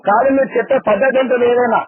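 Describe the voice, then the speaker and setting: A man's voice: very high-pitched, steady tone, racing, no echo. A man with a Telangana Telugu accent, defensively arguing and demanding evidence in a heated phone call. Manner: defensive, argumentative, keeps demanding proof. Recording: phone line, leaked call audio.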